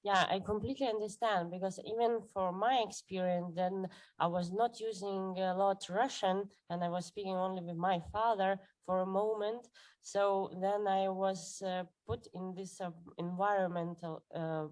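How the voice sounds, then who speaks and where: A woman's voice: medium pitch, steady tone, normal speed, darkish tone, poor audio. A woman from Lithuania, a native Lithuanian speaker, moderates an online Q&A, asking questions hesitantly and searching for her words. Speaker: event moderator.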